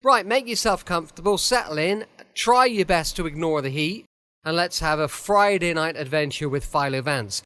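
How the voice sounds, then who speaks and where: A man's voice: fairly high pitch, lively intonation, normal speed, fairly bright tone, clean tone. A British man hosting a radio show, giving a chatty, welcoming introduction. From United Kingdom. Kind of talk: cosy scripted show introduction.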